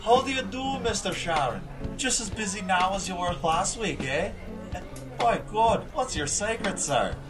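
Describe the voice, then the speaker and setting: An actor playing a smooth-talking, threatening Irish gangster enforcer in an old-time radio drama. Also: male voice, expressive, measured pace, music in the background. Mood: menacing. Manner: smooth-talking, threatening, drops to a low growl. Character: menacing Irish gangster enforcer, middle-aged man.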